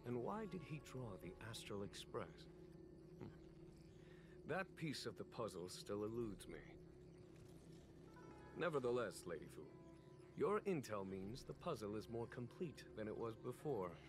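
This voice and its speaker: A man playing a shrewd, unhurried general in a video game, measured and musing, with quiet authority. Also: fairly low pitch, big pitch swings. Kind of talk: scripted video game voice acting. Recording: background music under the voice.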